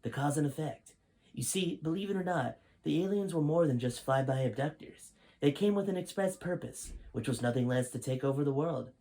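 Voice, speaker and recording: A man's voice: on the high side, lively intonation, brisk, dark tone, voice pushed up. A man reading as a grave five-star general. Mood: lecturing. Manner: grave, lecturing.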